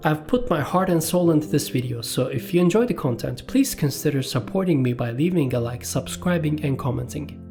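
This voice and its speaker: A man from Turkey, a native Turkish speaker, giving a scripted educational narration in a measured, list-reading way. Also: a little high, expressive, vocal fry, darkish tone, soft background music. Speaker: language video host.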